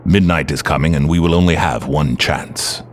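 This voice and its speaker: A man playing a grave, commanding older leader, speaking in a formal, measured way. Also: a bass voice, a little hiss or hum. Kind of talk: fan audio drama acting.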